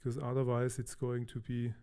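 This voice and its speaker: Mellow-voiced Austrian-born man presenting. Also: mid-range voice, moderate pace, clear, resonant tone. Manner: relaxed, hesitant with fillers. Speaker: conference speaker. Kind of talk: technical conference talk. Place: large conference hall.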